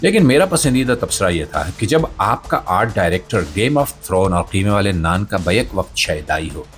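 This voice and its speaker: A man's voice: low-pitched, big pitch swings, normal speed. A man hosting a satirical commentary show, delivering a scripted monologue in a theatrical, mock-solemn way.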